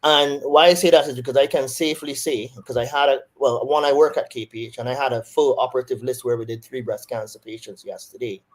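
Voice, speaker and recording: A man's voice: medium pitch, steady tone, fast talker, hardly any pauses. A man with a Barbadian accent, a surgeon answering interview questions thoughtfully, with frequent restarts.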